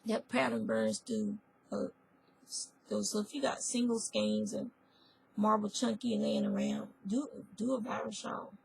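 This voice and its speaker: Hoarse, gravelly-voiced woman chatting. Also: medium pitch, lively intonation, measured pace, a little mellow. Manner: rambling, chatty, stops to correct herself. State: hoarse, losing her voice. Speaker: fiber arts vlogger. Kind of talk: casual chat.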